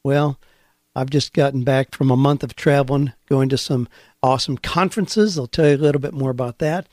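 A man's voice: medium pitch, steady tone, a little fast, darkish tone, no room sound, studio quality. A man hosting a career-advice radio show, talking in an earnest, encouraging and conversational way. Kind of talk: podcast radio monologue.

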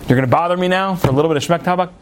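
A man's voice: sits high, rapid-fire, slight background noise, lightly acted. A man playing a rich, pious, self-important man in a folk tale, easily put out and indignant. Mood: irritated.

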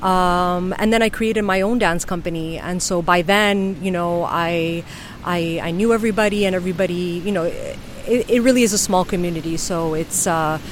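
A woman from the United States, a flamenco dancer, answering interview questions thoughtfully with frequent pauses and ums. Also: lowish voice, slight background noise. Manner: thoughtful, many ums and uhs. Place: outdoors on a beach.

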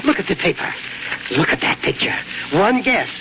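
A man's voice: sits high, sing-song, normal speed, gravelly, full character voice. A man playing a proud, grumbling older small-town doctor and father, blustering and repeating himself in 1940s radio acting. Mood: excited, proud. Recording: muffled, noisy 1940s radio recording.

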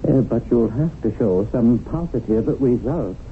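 A man's voice: mid-range voice, lively intonation, a little slow, creaky, narrow, tinny audio. A man playing a stern, reproving supernatural superior in a radio drama. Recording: thin old radio recording with faint noise.

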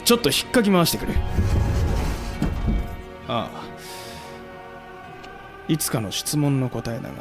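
A man playing a bold samurai commander with rough, terse speech in a historical audio drama. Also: mid-range voice, sing-song, a little slow, long pauses. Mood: resolute. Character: bold, blunt samurai commander Hijikata, unafraid of death. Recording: dramatic music behind.

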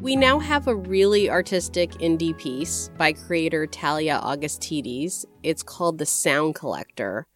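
Female podcast host, lower register, scripted links with some chat, music underneath. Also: animated, a little slow, hardly any pauses. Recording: music bed behind voice.

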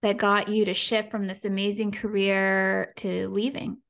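Gravelly-edged woman hosting a podcast. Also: mid-range voice, flat delivery, unhurried, a bit bright. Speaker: podcast host. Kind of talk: podcast introduction and interview.

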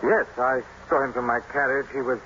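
Man acting earnest country doctor, medium pitch, urgent dramatic telling, muffled hissy old radio. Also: fairly even intonation, a little fast, pushed and bright, natural voice. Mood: shaken, grave. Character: earnest, shaken country doctor bringing grave news. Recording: muffled, noisy vintage radio broadcast.

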